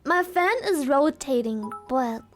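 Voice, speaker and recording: A woman's voice: sits high, expressive, slow pace, soft background music. A woman streamer chatting casually with her viewers, giggly and playful, her throat sore and dry. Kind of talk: casual live-stream chat.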